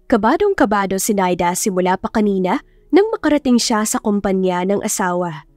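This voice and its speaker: A woman's voice: mid-range voice, lively intonation, a little fast, a little mellow, no room sound. A woman narrating a scripted romance audiobook in a dramatic storytelling style. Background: faint music.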